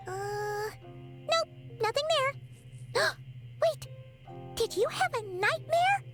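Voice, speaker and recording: A woman voicing a small, chirpy, caring fairy companion in an anime-style video game, perky and excitable. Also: very high voice, very slow, over loud music, full character voice. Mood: worried, encouraging. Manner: perky, refers to self in third person.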